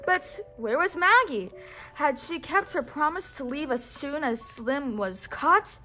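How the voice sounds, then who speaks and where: A woman's voice: high-pitched, lively intonation, unhurried, pushed and bright, faint music behind. A woman hosting a children's read-along, reading a storybook aloud in a teacherly way and inviting listeners to repeat words.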